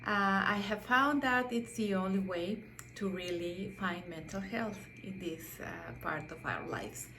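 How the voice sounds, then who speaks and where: A woman's voice: mid-range voice, measured pace, background noise. A middle-aged Mexican woman, a native Spanish speaker with a Mexican accent, talking about herself as a psychotherapist in a hesitant, reflective way with many fillers and restarts. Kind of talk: self-introduction monologue.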